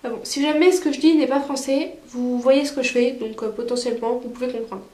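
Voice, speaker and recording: A woman's voice: high voice, fairly even intonation, fast talker, darkish tone, reverberant. A woman vlogger with a cold, chatting casually through a makeup tutorial with many fillers. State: stuffy with a cold. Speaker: beauty vlogger.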